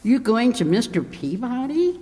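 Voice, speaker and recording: A man plays an eager, wordy salesman in an old-time radio comedy. He pitches slowly and emphatically, like an announcer selling goods. The sound has long hall reverb. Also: big pitch swings, mellow, heavily acted. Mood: curious. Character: enthusiastic, wordy appliance salesman Mister Niles.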